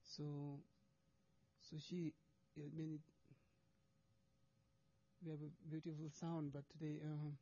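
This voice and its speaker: A man's voice: a little high, flat delivery, slow, long pauses, mellow, lo-fi sound. A man presenting charity work to an audience, speaking hesitant English with many restarts and fillers.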